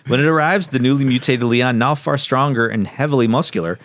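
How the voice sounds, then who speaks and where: A man's voice: medium pitch, brisk, fairly bright tone. A man co-hosting a comedy podcast, casually reading a story recap with wry, mock-serious reactions. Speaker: podcast co-host. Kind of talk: casual comedic recap, part read aloud.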